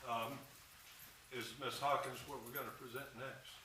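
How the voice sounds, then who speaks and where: A man addresses a jury as judge, folksy and even-toned. He is picked up from a distance in an echoey courtroom. Steady noise hangs under the voice. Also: mid-range voice, moderate pace. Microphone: far room mic.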